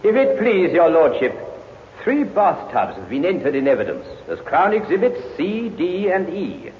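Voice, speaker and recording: Old radio prosecutor, highish voice, formal and theatrical, echoey tinny courtroom sound. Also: male voice, huge pitch swings, normal speed, slight background noise. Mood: probing, formal. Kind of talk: 1950s radio drama acting.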